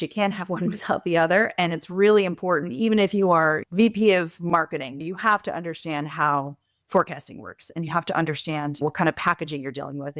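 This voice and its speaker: A woman consultant answering interview questions in a brisk, conversational way, often adding "like" and "right?". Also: sits low, no room sound, studio quality. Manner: energetic, uses "like" and "right?" often. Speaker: guest mentor, e-commerce consultant.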